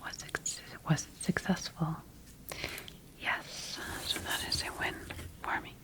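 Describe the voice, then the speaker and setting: A woman whispering breathily in an ASMR sci-fi roleplay as a scientist studying her creation, speaking deliberately with pauses. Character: quietly rogue female scientist studying her engineered creation. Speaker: ASMR performer.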